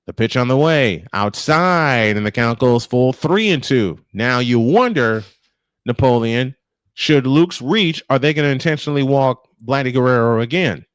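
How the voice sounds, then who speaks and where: A man's voice: medium pitch, lively intonation, normal speed, smooth, no echo. Male baseball play-by-play announcer, dry close sound. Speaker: play-by-play commentator on a live stream. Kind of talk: live baseball play-by-play commentary.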